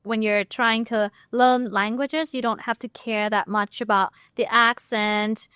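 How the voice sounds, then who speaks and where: A woman host with a Thai accent, asking gently leading interview questions. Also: a little high, steady tone, a little slow, clear, resonant tone, no echo, studio quality. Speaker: host, English teacher.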